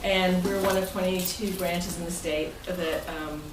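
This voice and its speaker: A woman's voice: on the low side, steady tone, unhurried. A woman giving an informal, chatty club presentation as its president, speaking conversationally to members. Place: indoor meeting room with members present. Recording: roomy, distant mic, noisy meeting room.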